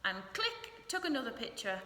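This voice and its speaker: A woman teacher reading a storybook and teaching phonics to young children, deliberate and instructional, often questioning. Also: high-pitched, very animated, sharp tone. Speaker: early years teacher. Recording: echoey hall-like room with noise.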